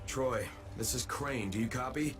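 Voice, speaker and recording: An actor playing an earnest, determined undercover operative in a zombie-city video game. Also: male voice, medium pitch, a little slow, over music, natural voice. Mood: urgent radio call. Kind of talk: video game cutscene acting.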